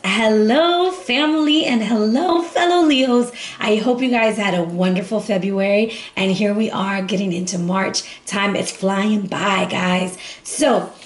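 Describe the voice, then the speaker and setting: A hoarse woman who has lost her voice, giving a casual, chatty monthly tarot reading to her viewers. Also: a little high, roomy sound. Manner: warm, chatty, affectionate with viewers. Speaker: tarot reader.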